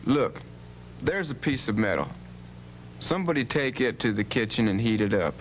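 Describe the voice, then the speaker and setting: A man's voice: mid-range voice, big pitch swings, moderate pace, natural voice, low-quality recording. A man playing a bold, plain-spoken legendary cowboy rancher in an educational radio drama, with a folksy, authoritative manner. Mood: confident, commanding. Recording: hum and faint background noise.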